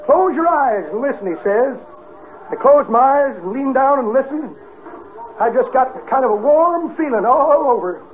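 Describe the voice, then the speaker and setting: A very high-pitched, wisecracking old codger plays department store Santa in a radio comedy. He talks in a folksy, rustic way and grumbles through his jokes. The old 1950s broadcast is muffled, with faint noise. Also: expressive, normal speed. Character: cranky, joking old man working as store Santa.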